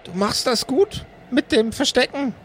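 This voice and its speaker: A man's voice: very high voice, measured pace, fairly bright tone, slight background noise, pitched above the performer's own voice. A man voicing an encouraging but hesitant male companion in an improvised fantasy audio play.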